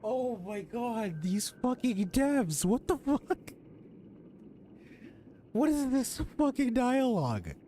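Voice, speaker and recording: A man's voice: very high voice, very animated, slow pace, pauses often, mellow, slight background noise. A young adult man giving casual, slangy, sweary gameplay commentary with game music behind him. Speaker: game streamer.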